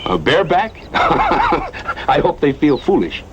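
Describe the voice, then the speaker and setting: A cocky cowboy gunhand in a western film, talks in a folksy taunting drawl. His voice sits a little high and swings freely, over a muffled, noisy old soundtrack. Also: wildly swooping pitch, slow, heavily acted. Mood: mocking. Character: cocky, easygoing cowboy gunhand, needling and unbothered.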